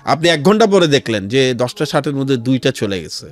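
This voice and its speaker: A man's voice: mid-range voice, very animated, fairly quick, soft background music. A man with a Bangladeshi accent talking earnestly as a guest in a radio interview, explaining in a storytelling way and mixing in English phrases. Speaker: interview guest, founder of a charity resale platform. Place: radio studio.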